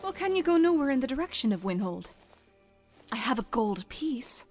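A woman's voice: high voice, big pitch swings, normal speed, darkish tone, soft background music. A woman playing a bold young barmaid in a fantasy audio drama, earnest, questioning and stubborn. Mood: determined. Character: bold, determined young barmaid setting out adventuring.

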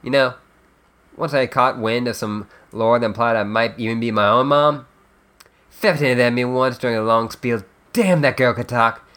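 A man voicing a chatty, flippant teenage girl in a webcomic dub, with wry, drawn-out emphasis. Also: medium pitch, steady tone, fairly bright tone, lightly acted. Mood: curious, musing. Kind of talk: character dub reading of a webcomic.

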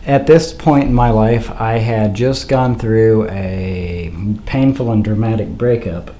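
A man's voice: fairly low pitch, dark tone. A man hosting a faith podcast in a casual, hesitant monologue, sounding like he has a cold and is losing his voice. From Idaho, USA. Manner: hesitant, many uh fillers. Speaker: podcast host. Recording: faint wind noise behind.

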